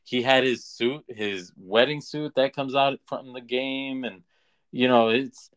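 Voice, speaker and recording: Mid-pitched man in halting podcast chat. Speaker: podcast co-host. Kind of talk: casual chat.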